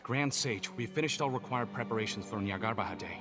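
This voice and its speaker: A dutiful male subordinate from a video game, voiced by an actor. He reports formally and deferentially in a fairly low, quick voice, with music clearly behind him. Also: close to an everyday voice. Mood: businesslike.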